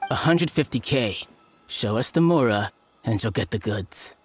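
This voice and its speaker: An actor plays a jittery smuggler in a video game. The mid-pitched voice is curt and impatient, moving around a lot in pitch. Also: animated, measured pace, lightly acted.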